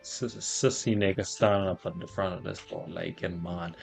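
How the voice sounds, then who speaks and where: Male gameplay commentator, low and creaky, informal and slangy. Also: steady tone, moderate pace, soft background music. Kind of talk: casual game commentary.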